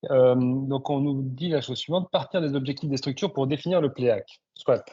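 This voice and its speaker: A man's voice: mid-range voice, normal speed, dark tone, breathy edge. A man moderating a webinar and relaying questions in a conversational way. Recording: dull, lo-fi online call audio.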